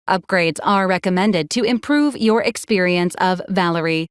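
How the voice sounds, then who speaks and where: A woman voicing a polite, literal robot assistant in a video game, with formal, clipped, deadpan delivery. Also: medium pitch, no room sound, clean studio sound, close to an everyday voice. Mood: deadpan, helpful. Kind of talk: scripted video game character lines.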